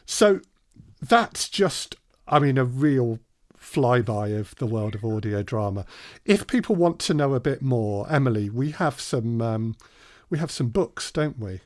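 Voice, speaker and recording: A man leads an informal workshop in the voice of a working audio drama producer. His pitch is mid-range for a man and he explains in a halting, pause-filled way. The sound is dry, with hardly any room on it. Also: expressive, darkish tone, no room sound. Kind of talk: informal spoken workshop presentation.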